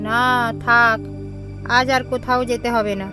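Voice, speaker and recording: A woman's voice: fairly high pitch, level tone, unhurried, over music, natural voice. Fussing old auntie, voiced by a woman. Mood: fussy scolding. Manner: scolding, doting, motherly. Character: older aunt, fussy, loving and worried. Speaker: voice actor in an animated story. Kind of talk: cartoon voice acting.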